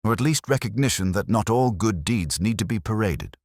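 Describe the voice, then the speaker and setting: A man voicing a kindly, thoughtful male police inspector from a storybook, gentle, wry and reassuring. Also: fairly low pitch, animated, moderate pace, no room sound, studio quality, voice pushed up. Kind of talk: storybook character dialogue.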